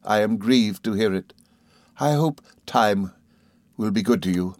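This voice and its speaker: A man's voice: medium pitch, normal speed, no room sound, voice pushed up, close to an everyday voice. A man voicing a proud, stiffly composed older mother who speaks in a formal, restrained way. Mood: grave.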